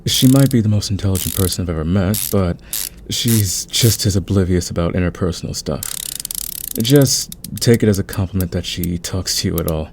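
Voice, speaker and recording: A man playing a calm, friendly veteran thief in an ASMR audio roleplay, speaking in a patient, soothing, conversational way. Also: low-pitched, normal speed, a little hiss or hum. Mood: gentle, explanatory. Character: calm, friendly veteran male thief smoothing over team tensions.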